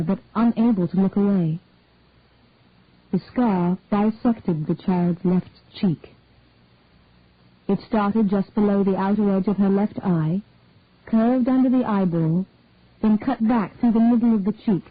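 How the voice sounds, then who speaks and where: Husky woman reading a story, tinny sound. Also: mid-range voice, no echo. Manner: measured, unhurried reading. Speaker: reader. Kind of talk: scripted narration of a detective story.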